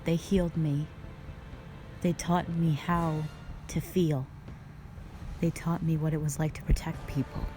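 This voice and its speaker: A woman playing a stern, calm, duty-bound dragon guardian, speaking formally and solemnly in a scripted roleplay audio drama. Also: sits low, over music. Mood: stern, resolute. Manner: measured, solemn, formal phrasing.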